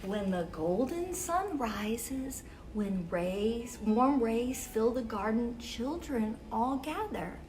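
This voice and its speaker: A woman hosting a children's story time, reading picture books aloud expressively with measured, deliberate phrasing for young listeners. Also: fairly high pitch, lively intonation, a little mellow, a little hiss or hum.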